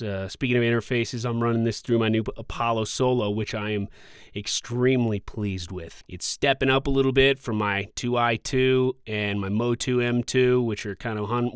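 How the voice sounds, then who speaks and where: A man's voice: mid-range voice, clear, resonant tone, no echo. A man hosting a casual microphone review, talking informally and conversationally, close to a studio condenser mic. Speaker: microphone reviewer and voice-over video host. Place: quiet indoor recording space. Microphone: close studio condenser microphone, about six inches away.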